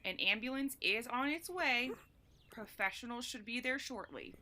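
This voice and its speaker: A woman playing a calm, professional emergency dispatcher in an audio drama. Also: a little high, normal speed, a little hiss or hum. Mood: calm, businesslike.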